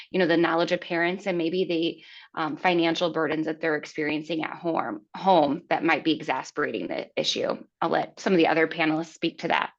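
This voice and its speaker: A woman, a rural school principal, testifying on a web panel in an earnest, explanatory way, with "you know" fillers. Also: sits low. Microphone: video call. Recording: dull lo-fi video call audio.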